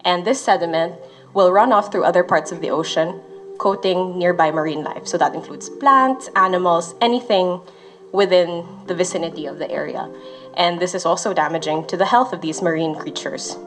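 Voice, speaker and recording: A woman from the Philippines, a research associate, calmly explaining findings with occasional fillers. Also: fairly low pitch, normal speed, soft background music. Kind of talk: explanatory interview talk.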